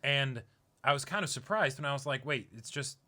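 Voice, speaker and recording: Slangy, bright-voiced man in jokey fan chat. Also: mid-range voice, expressive, brisk. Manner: slangy, playful hyperbole. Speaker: co-host of an anime reaction show.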